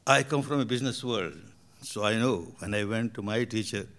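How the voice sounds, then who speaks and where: A man's voice: fairly low pitch, normal speed, a bit bright. An older man from Burma, a meditation teacher answering audience questions in emphatic, repetitive phrasing.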